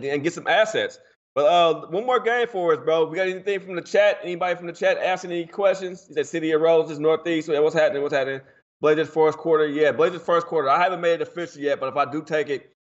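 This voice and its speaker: A quick, slangy man from Portland chats about sports bets in a loose, animated way. His voice sits a bit high and comes through a dull, muffled stream. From Portland, Oregon. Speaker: sports betting show host. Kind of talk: casual sports betting chat.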